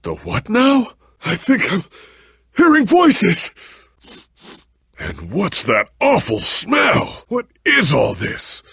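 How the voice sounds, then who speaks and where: Husky, gravelly weakened warrior. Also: male voice, high-pitched, huge pitch swings, fairly bright tone, no echo, studio quality, full character voice. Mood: bewildered, alarmed. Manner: formal, grave diction with heavy pauses. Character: weary, weakened warrior hero, confused and ailing, noble and earnest. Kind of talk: dramatic video game voice acting.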